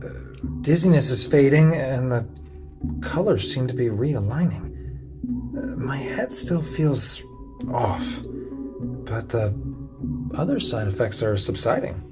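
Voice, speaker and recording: Bewildered, halting test subject, swooping pitch. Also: male voice, very animated. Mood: disoriented, wary. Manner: hesitant, stammering, halting. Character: bewildered, jittery male test subject in an experiment. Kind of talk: audio drama acting. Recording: music bed under binaural drama voice.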